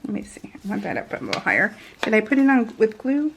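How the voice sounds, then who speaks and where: A woman's voice: medium pitch, huge pitch swings, quick pace, vocal fry, a little hiss or hum. A woman chatting casually and giggly as a craft vlogger, rambling and interrupting herself while she works in real time.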